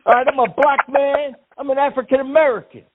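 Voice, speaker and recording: A man's voice: extremely high pitch, little pitch movement, fast. A man playing a brash, profane caller persona who repeats himself and hurls insults. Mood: combative. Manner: repetitive, profane, trash-talking. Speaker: prank caller. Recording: tinny phone line.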